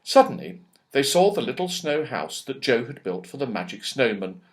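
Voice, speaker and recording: A man's voice: mid-range voice, sing-song, normal speed. A man narrating a children's story as an audiobook, expressively, with dramatic emphasis and storyteller's phrasing.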